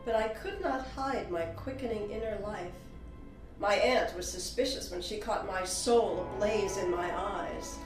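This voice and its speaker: An actress gives a woman poet's lyrical audiobook reminiscence. She sounds a little distant in a roomy space, with music behind her. The phrasing is unhurried, measured and solemn. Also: mid-range voice, lightly acted. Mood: reflective. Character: reflective woman poet recalling her youth. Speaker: audiobook performer. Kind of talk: dramatic poetic audiobook narration.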